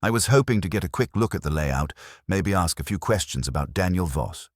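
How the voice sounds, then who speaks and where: Wisecracking male gumshoe, played by a man: very low, lively, breezy and wry. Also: brisk, no room sound, clean studio sound. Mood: breezy, probing. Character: cheeky, wisecracking male private investigator. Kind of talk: audiobook character voice.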